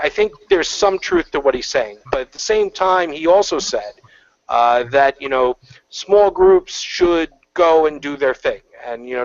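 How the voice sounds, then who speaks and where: Male panelist, mid-pitched, rambling with many fillers, over muffled call audio. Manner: rambling, heavy on fillers and restarts. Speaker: panel guest. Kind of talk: casual online panel chat. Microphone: video call.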